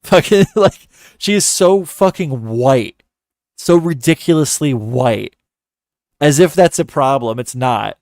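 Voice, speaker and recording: A man's voice: mid-range voice, lively intonation, takes it slowly, gravelly, darkish tone, dry recording, studio quality. A man giving mocking, profane commentary in a sarcastic, scornful way. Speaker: commentary host.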